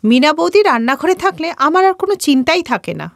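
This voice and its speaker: A woman's voice: high voice, expressive, quick pace, no echo, clean studio sound. A woman voicing a self-centred young working daughter-in-law in a cartoon family story, whiny and protesting. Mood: earnest. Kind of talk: Bengali moral-story cartoon voice acting.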